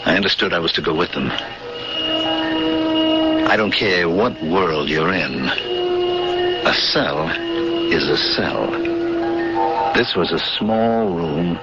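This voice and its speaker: A man's voice: low-pitched, dull audio. A man narrating and acting a wry, skeptical lead in a 1970s radio drama, on an old hissy recording. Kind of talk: first-person radio drama narration and dialogue.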